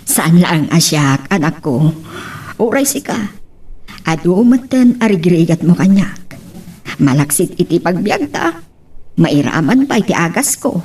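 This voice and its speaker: A woman playing a tender, prayerful mother in an audio drama, speaking gently and sorrowfully to her grown child. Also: on the low side, sing-song, unhurried, pauses often, slightly rough, exaggerated voice. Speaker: voice actor in a drama. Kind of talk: Ilocano audio love drama acting.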